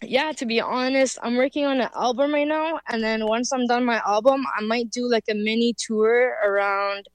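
A woman's voice: fairly high pitch, fairly even intonation, moderate pace, hardly any pauses, dry recording. A woman from Canada, a musician answering interview questions in a loose, casual way full of fillers. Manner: loose, filler-heavy, with frequent "like". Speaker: musician guest.

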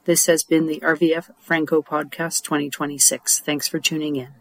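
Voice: medium pitch, little pitch movement, moderate pace, fairly bright tone, dry recording. A podcast host recorded dry and close, with almost no room sound. The questions are read in a friendly, scripted way. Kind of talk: radio interview.

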